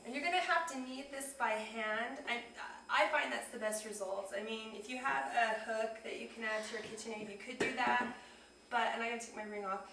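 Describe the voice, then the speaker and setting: A woman hosting a casual home cooking demonstration, a little hoarse, talking chattily as she works. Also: fairly high pitch, brisk, noisy background. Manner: chatty, friendly instructional tone. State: slightly hoarse. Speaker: cooking show host. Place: home kitchen. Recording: echoey kitchen, mic at a distance.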